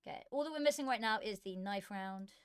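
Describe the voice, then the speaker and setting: A woman's voice: medium pitch, quick pace, clear, resonant tone. Woman streamer with a tired, worn voice, talking casually and muttering to herself as she sets up. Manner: muttering to herself between chatty asides. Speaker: esports streamer and commentator. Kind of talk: casual live-stream chat while setting up. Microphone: desk microphone.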